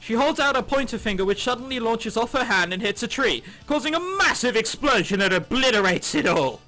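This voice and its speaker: A man's voice: very high voice, sing-song, a little fast, pushed and bright. A man reading a visual novel aloud, with sarcastic asides and swearing. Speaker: let's play host. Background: music.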